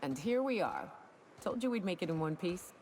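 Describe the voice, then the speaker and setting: Blunt game hunter; very low voice, noisy ambience. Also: female voice, big pitch swings, moderate pace, darkish tone. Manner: blunt, matter-of-fact.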